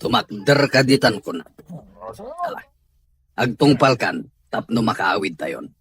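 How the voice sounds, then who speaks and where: A man playing a stern but caring middle-aged father in a radio-style family drama, firm and measured. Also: medium pitch, expressive, a bit bright. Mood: exasperated.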